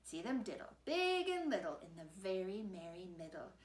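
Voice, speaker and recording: Animated woman reading a rhyming storybook. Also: mid-range voice, normal speed, roomy sound. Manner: playful, rhythmic singsong. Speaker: storybook reader. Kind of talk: expressive rhyming children's story narration.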